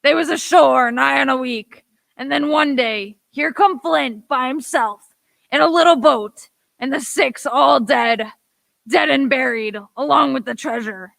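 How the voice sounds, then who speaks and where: A woman playing a half-mad, excitable marooned old sailor man with rustic pirate speech in a radio play. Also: high-pitched, a little slow, vocal fry, no echo, full character voice. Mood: fearful, agitated. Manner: rustic pirate speech, exclaiming, repeating himself.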